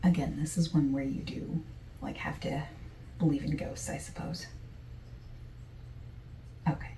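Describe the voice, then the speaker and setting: A woman playing a friendly, easygoing survey taker in a softspoken, partly whispered ASMR roleplay, with gentle, chatty asides. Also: low voice, level tone, unhurried, echoey room, distant mic, a little hiss or hum, low-quality recording. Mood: gentle, casual. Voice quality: soft, breathy, partly whispered.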